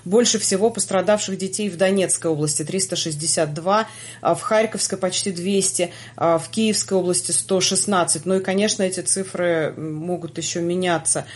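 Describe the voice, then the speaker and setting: A woman with a fairly low voice hosts a news podcast. She reads through a daily roundup in a measured, even delivery. Now and then she pauses on a drawn-out filler sound. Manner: measured, with frequent hesitation sounds. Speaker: podcast host. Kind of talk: news podcast chat and news summary.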